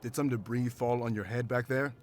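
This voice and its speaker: A man's voice: medium pitch, fairly even intonation, a little fast, lightly acted. A man voicing a protective male rebel fighter in a fantasy visual novel game, blunt and teasing. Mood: blunt, wry.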